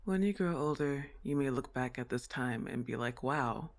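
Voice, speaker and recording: A man voicing a comforting sleep paralysis demon in an ASMR roleplay, reassuring and unhurried as he speaks to the listener like a therapist with a teasing streak. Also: mid-range voice, animated, gravelly.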